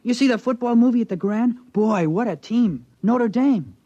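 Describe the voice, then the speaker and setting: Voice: medium pitch, animated, moderate pace, dark tone, dry recording, lightly acted. A performer playing a brash, teasing schoolboy with slangy, jeering talk in a vintage radio drama. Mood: playful. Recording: dull vintage radio recording.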